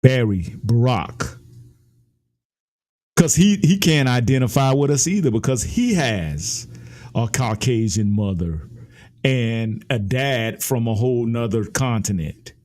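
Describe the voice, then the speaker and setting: A man's voice: mid-range voice, animated, slow, darkish tone. An American man giving an opinionated political commentary, speaking emphatically and repeating phrases for effect. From United States.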